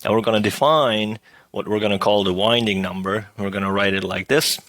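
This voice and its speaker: A middle-aged man with a slightly hoarse voice, giving a recorded math lecture over slides in a relaxed, thinking-aloud way, often checking in with "right?". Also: lowish voice, fairly quick, no echo. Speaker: math professor.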